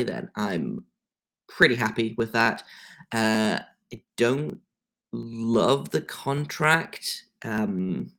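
A man's voice: medium pitch, creaky, a bit bright. An English man hosting a sports podcast, talking casually with many fillers and restarts. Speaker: podcast host.

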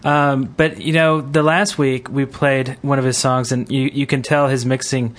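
A man hosts a podcast in a relaxed, conversational style. His mid-range voice comes out haltingly, feeling its way through each thought. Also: medium pitch. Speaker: podcast host. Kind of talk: casual panel chat.

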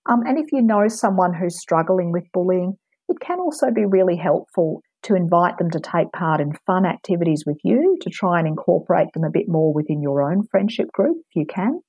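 Low, mellow-voiced woman advising. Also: lively intonation, slightly rough, no echo, dull audio. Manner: measured, explanatory, frequent ums. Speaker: anti-bullying expert guest. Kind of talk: calm advisory interview answers.

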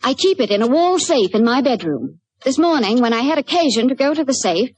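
A grand society lady in a vintage radio play. Her voice is fairly high and swoops with expression, a little husky and very dry. She sounds arch, teasing and imperious. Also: very animated, a little fast, dry recording, full character voice. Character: haughty, playful aristocratic lady, a sly prankster. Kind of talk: 1940s radio drama acting.

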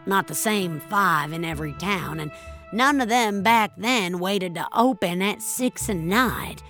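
A woman's voice: mid-range voice, animated, a little slow, bright, forceful tone, faint music behind. A woman playing an angry, nostalgic elderly woman in an audio drama, speaking emphatically and wistfully with plenty of swearing. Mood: wistful, impassioned. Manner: emphatic, wistful, foul-mouthed. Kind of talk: dramatic audio fiction monologue.

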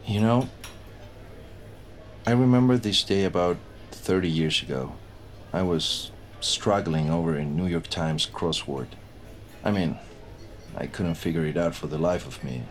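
An actor playing a weary, haunted retired detective in his sixties, talking plainly and haltingly in an audio drama. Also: low-pitched. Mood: weary, reflective. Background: music.

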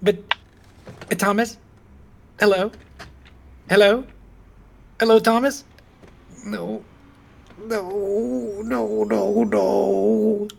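An actor playing a desperate, flustered talent agent in an audio comedy, with a theatrical, wheedling manner. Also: male voice, sits high, steady tone, drawn-out words, creaky, pauses often, slight background noise, exaggerated voice. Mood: despairing. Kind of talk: audio comedy drama acting.

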